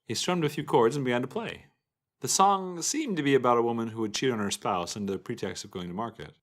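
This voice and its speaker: A man's voice: medium pitch, fast. Man narrating a scripted fantasy tale. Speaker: storyteller.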